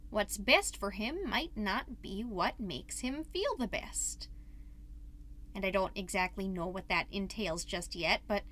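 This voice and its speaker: A woman's voice: medium pitch, fairly bright tone, slight background noise. A woman playing a chatty, wholesome suburban mom podcaster, doting and easily exasperated, in a scripted comedic monologue. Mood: tender, worried. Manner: chatty, dramatic, folksy minced oaths.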